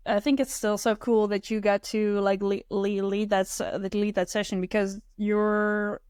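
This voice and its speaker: A woman's voice: mid-range voice, little pitch movement, darkish tone, clean tone, no room sound. Young woman podcast host, dry sound. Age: young adult. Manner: halting, restarts words. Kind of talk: casual podcast conversation.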